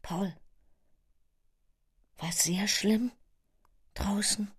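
Fearful mother, radio play, husky, whispery, slow-paced, middle-aged. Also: mid-range voice, steady tone, heavily acted. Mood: anxious, worried. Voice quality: breathy, husky. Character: anxious, worried mother of a young soldier. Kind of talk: radio drama acting.

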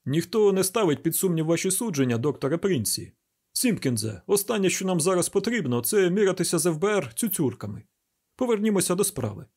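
A man voicing a brusque, loud police commissioner in an audiobook, blunt and authoritative. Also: high voice, sing-song, brisk, breathy edge, voice pushed up, exaggerated voice. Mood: commanding.

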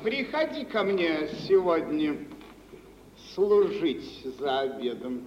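An actor playing a pompous, touchy, self-important minor official, haughty and affected, in mid-century radio theatre style. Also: male voice, on the high side, big pitch swings, takes it slowly. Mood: condescending. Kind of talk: 1940s-50s radio theatre acting. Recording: old radio recording, echoing hall, muffled, hissy.

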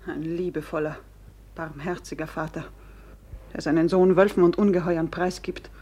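A woman's voice: lowish voice, fairly even intonation, moderate pace, dark tone, breathy edge. An actress playing a proud, indignant young noblewoman, declaiming with scorn in a classic German radio drama. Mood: bitter scorn.

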